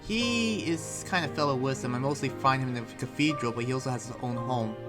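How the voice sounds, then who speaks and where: A man playing an earnest, troubled demon slayer and researcher in an improvised anime game roleplay, speaking haltingly and often trailing off. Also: medium pitch, over music, close to an everyday voice. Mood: uneasy, explaining.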